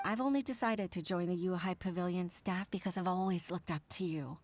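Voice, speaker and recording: A woman's voice: on the low side, brisk, darkish tone, a little hiss or hum, natural voice. An actress voicing a humble, self-doubting middle-aged secretary and mother who speaks hesitantly, often half-whispered. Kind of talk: video game voice acting.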